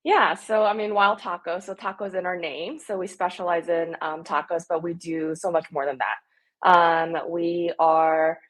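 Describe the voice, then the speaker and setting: An enthusiastic woman in her thirties, originally from Korea, chatting as a guest on a local podcast. Her voice sits a little low for a woman, and she sounds upbeat and eager as she talks through her answers. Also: steady tone, a little slow. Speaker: restaurant owner guest. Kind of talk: casual podcast interview.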